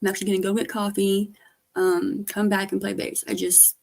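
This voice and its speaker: Woman streamer, hoarse and gravelly, loose rambling chat, soft trailing delivery. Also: medium pitch, level tone, brisk. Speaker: livestreamer. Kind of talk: casual livestream chat.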